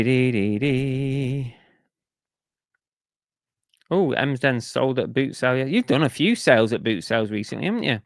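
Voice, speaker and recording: A man's voice: mid-range voice, vocal fry, dry recording, clean studio sound. A man chatting casually on a live stream, reading out viewer comments and grumbling about sales while losing his voice. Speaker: reseller streamer reading chat.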